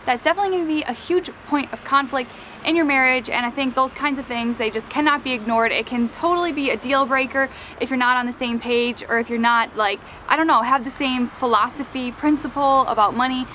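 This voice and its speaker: Young female vlogger, high voice, light Wisconsin accent, chatty filler-heavy delivery, faint background hiss. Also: a little fast, sharp tone. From United States, Wisconsin. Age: young adult. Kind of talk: casual Q and A chat to camera.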